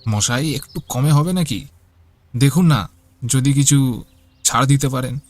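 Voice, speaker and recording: A man's voice: mid-range voice, a little slow, darkish tone, dry recording, soft background music, close to an everyday voice. A man voicing a greedy young married son in a cartoon, self-assured and dismissive. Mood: polite.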